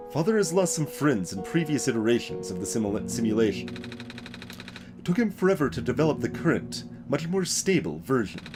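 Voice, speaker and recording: Man voicing a earnest, hesitant young game character, very expressive. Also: mid-range voice, lightly acted. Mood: hesitant, uneasy. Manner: halting, careful, formal wording. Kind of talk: voiced reading of video game dialogue.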